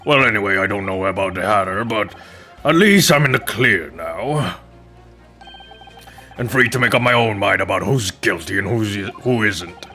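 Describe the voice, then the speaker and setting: A man's voice: fairly low pitch, lively intonation, normal speed, pushed and bright, faint music behind, pitched below the performer's own voice. A man voicing a resentful, cocky banker on the witness stand in a courtroom game, with a blunt, pointed manner.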